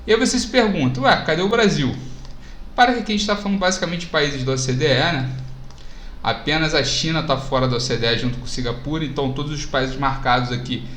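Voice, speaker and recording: A Brazilian man teaching economics to camera, casual and animated as he points out figures on a chart. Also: a little high, fast, echoey room, a little hiss or hum. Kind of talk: lecture to camera over charts.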